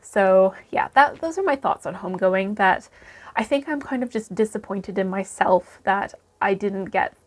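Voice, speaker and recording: A woman talks through her recent reading in a relaxed, chatty way, her voice a little croaky because she is still under the weather. She fills pauses with ums and restarts her sentences as she thinks. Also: mid-range voice. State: croaky voice from illness. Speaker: book vlogger. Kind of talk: casual book review chat.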